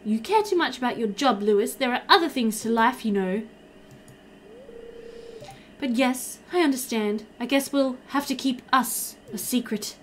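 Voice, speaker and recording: A woman's voice: on the high side, normal speed, a little hiss or hum, lightly acted. Woman playing a resigned, chiding secret sweetheart.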